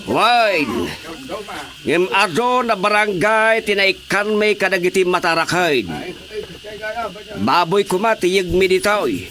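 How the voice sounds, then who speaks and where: A man playing a folksy town mayor making speeches in a comedic radio drama, deliberate, with an Ilocano Filipino accent. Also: sits high, lively intonation. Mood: jovial, boastful. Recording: crowd and animal noises behind.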